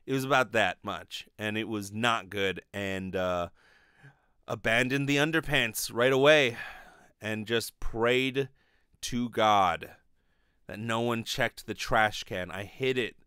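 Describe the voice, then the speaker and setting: A man in his thirties with a clean, smooth voice at a middle pitch talks close to the mic with very little room sound. He rambles through a confessional comic monologue as a podcast host, swearing freely and getting animated as he goes. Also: no room sound. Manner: animated, profane, rambling storytelling. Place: small bedroom, indoors. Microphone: close desk studio microphone with pop filter.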